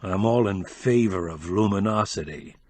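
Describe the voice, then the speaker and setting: A dry-witted middle-aged man speaks low and wry, in an unhurried husky voice, as the narrator plays him. It's close and dry. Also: darkish tone, no echo, natural voice.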